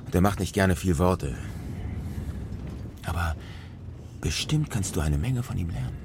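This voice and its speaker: A man's voice: low-pitched, expressive, measured pace, gravelly, background noise, exaggerated voice. A man acting a firm but loving truck-driver father in a German children's radio drama, coaxing and admonishing. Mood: reassuring.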